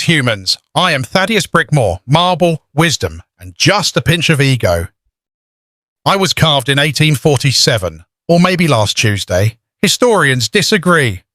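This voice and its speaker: A man's voice: a little high, a bit bright, no echo, clean studio sound. A man voicing a pompous talking marble statue, lecturing theatrically in a comic puppet show. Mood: grandly boastful. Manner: pompous, theatrical, deliberate delivery. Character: pompous talking marble statue, self-proclaimed judging educator. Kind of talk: scripted comic educational narration for puppet show.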